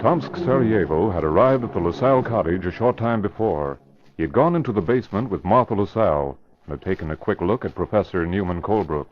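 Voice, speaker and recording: A man narrates a vintage radio thriller in a low register. His delivery is formal and dramatic, and the old recording sounds dull and muffled. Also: animated, fast.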